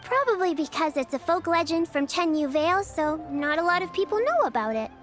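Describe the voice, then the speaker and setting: A woman's voice: extremely high pitch, sing-song, a little fast, fairly bright tone, soft background music, exaggerated voice. A woman voicing a cheerful, caring young girl in a video game, patiently explaining things in a singsong, reassuring way. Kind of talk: video game character dialogue.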